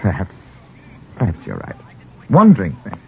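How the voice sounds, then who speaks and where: Jittery officer, suspicious, halting, pitch all over the place, old muffled 1950s radio drama. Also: male voice, wildly swooping pitch, creaky, slight background noise, exaggerated voice. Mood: agitated, relenting. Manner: halting, repeats himself. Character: anxious, suspicious German officer in love, unravelling. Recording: thin muffled 1950s radio, faint music.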